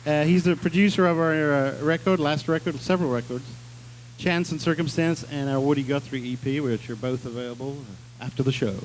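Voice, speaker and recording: A man from England answers questions about his band with a dry, joking humor. His voice sits a little high. A faint hum lies under the recording. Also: steady tone, a little fast, low-quality recording. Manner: jokey, self-deprecating. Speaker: band leader. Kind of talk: casual interview.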